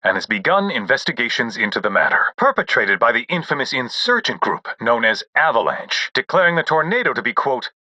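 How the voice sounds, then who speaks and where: A man's voice: medium pitch, big pitch swings, brisk, hardly any pauses, pushed and bright, no echo, highs cut off, heavily acted. A man voicing a news announcer in a video game, formally reading grave bulletins with emphatic, dramatic delivery.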